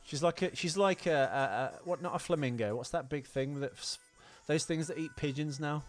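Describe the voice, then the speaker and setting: A man's voice: fairly high pitch, normal speed. A man in casual film podcast banter, teasing and opinionated. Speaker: podcast co-host. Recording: music playing behind the talk. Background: film soundtrack music.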